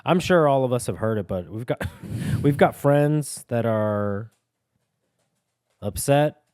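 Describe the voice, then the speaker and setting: A young man co-hosting a podcast, joking and rambling in casual chat with quick laughs. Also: medium pitch, lively intonation, darkish tone, clear, resonant tone, dry recording, clean studio sound. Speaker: podcast co-host. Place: home studio with dogs.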